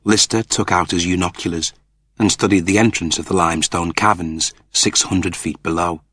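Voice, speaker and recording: A man's voice: low voice, steady tone, moderate pace, sharp tone, slightly rough, no echo, studio quality. A man reading a science fiction novel aloud as a narrator, with theatrical, intense storytelling. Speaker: audiobook narrator.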